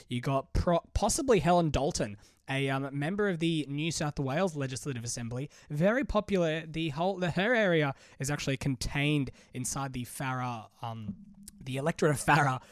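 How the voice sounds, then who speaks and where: A man's voice: mid-range voice, no echo, studio quality. A young man hosting a solo politics podcast, chatty and full of restarts, with a cold that makes him sound a bit different. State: has a cold. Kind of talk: casual solo commentary.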